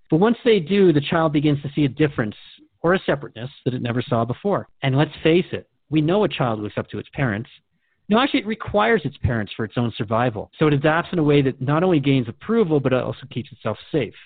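Male host for scripted radio dialogue: inquisitive, conversational, mid-pitched, dry, tinny phone-quality recording. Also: no room sound.

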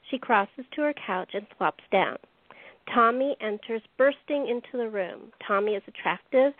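A woman host narrating a radio play, reading the script deliberately, phrase by phrase. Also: a little high, no echo. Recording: dry, tinny phone-line audio.

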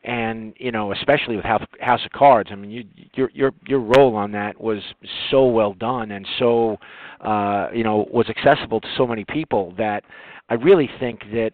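A man hosting an interview in a casual, conversational way, with wordy, halting phrasing. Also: fairly low pitch, no room sound. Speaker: interview host. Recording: tinny phone-like audio, very dry.

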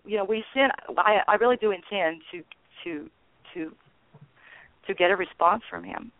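A woman's voice: medium pitch, moderate pace, creaky, no room sound. A woman guest on a radio interview, talking earnestly and chattily with bursts of enthusiasm, calling in by phone. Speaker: guest, founder of a peace network. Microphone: phone call. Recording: tinny dry phone line.

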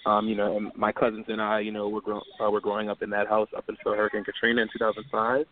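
A man's voice: on the low side, monotone, fast talker. A man, calling in and talking politely with many restarts and apologies. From Louisiana, USA. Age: 20s. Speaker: caller. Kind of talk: casual call-in chat. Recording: tinny phone line with faint noise.

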